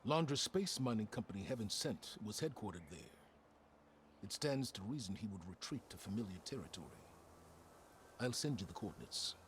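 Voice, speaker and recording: An actor playing a grave, calculating older male scholar in a video game, speaking in a measured, formal way. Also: on the low side, animated, a little hiss or hum, natural voice. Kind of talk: video game cutscene dialogue.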